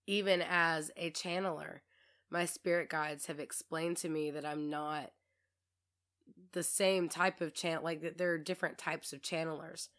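A woman with a low pitch and a hoarse, worn-out voice hosts her own podcast. She chats on in a loose, rambling way, often breaking off mid-thought, and her voice still cuts through with a bright, forceful edge. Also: steady tone, a little slow. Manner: rambling, chatty, self-interrupting. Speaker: podcast host. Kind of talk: casual solo podcast monologue.

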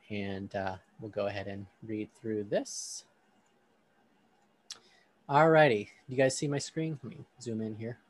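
A man hosting a podcast discussion, talking in a relaxed way with frequent ums, then reading a Bible passage aloud. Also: mid-range voice. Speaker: podcast host.

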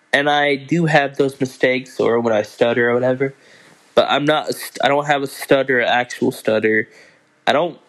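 A young man hosting a casual solo podcast, talking in a halting way with many restarts and stumbles. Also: mid-range voice. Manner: halting, restarts and fillers, slow unhurried delivery. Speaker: podcast host.

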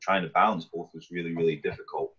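Husky deep Scottish man, muffled sound. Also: steady tone, pushed and bright. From Scotland. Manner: thoughtful, frequent "um" pauses. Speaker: podcast guest, goalkeeper coach. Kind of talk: casual interview answers. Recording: muffled lo-fi audio.

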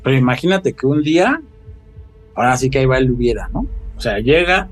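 A man's voice: medium pitch, lively intonation, fairly quick, soft background music. A man with a Mexican accent in casual podcast chat, playful and full of slang.

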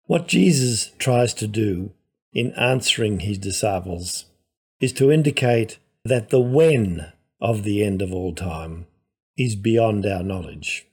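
A male priest and professor giving a scripted gospel reflection in a measured, explanatory manner. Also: fairly low pitch, lively intonation, slightly rough.

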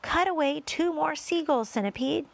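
A woman's voice: high voice, moderate pace, creaky, pitched above the performer's own voice. A woman voicing an earnest, commanding young boy in a storybook, speaking with urgent exclamations.

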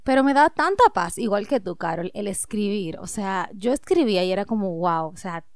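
A woman's voice: mid-range voice, lively intonation, moderate pace, dry recording, studio quality. A woman co-hosting a podcast, chatting casually, addressing listeners directly and laughing easily. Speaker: podcast co-host. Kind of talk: casual chat and reading her own writing aloud.

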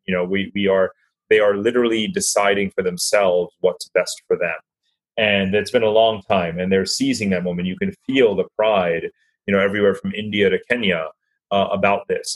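A man with a fairly low voice speaks as an interview guest. He gives articulate, conversational expert commentary, explaining his points and repeating words for emphasis. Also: poor audio.

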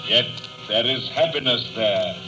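An actor playing a jolly, solemn giant spirit in a vintage radio drama, with stately, declamatory delivery. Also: male voice, mid-range voice, a little slow, natural voice, rough recording. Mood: stately, warm. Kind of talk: 1930s-40s radio drama acting. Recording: old radio broadcast, music behind.

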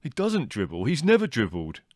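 A man's voice: mid-range voice, huge pitch swings, normal speed, no echo, clean studio sound, voice pushed up. A man voicing a blunt, belligerent young man in a storybook reading.

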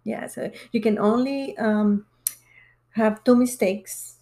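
A woman's voice: on the high side, fairly even intonation, takes it slowly, mellow. A woman in her forties from Mexico, a podcast guest talking casually and earnestly explaining her experiences at length. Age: 40s. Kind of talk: casual chat.

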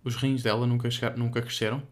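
A man's voice: medium pitch, little pitch movement, fast talker. A young man with a European Portuguese accent chatting casually as a podcast co-host, rambling and hesitant, with many fillers.

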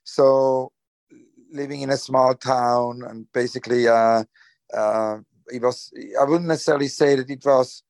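A man's voice: mid-range voice, steady tone, a little slow, darkish tone, no echo. A man from Switzerland, answering interview questions hesitantly with many fillers and restarts. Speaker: guest. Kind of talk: conversational interview.

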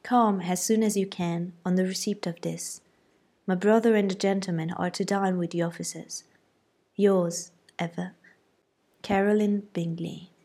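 A woman reading as a gentle young woman. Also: fairly low pitch, steady tone, unhurried. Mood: calm. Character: gentle, mild young woman, eldest daughter.

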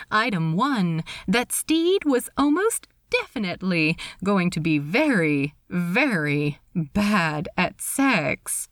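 Female story narrator, lively intonation, slightly unhurried pace, scripted reading, dry close sound. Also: on the high side, no room sound. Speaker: fan fiction reader.

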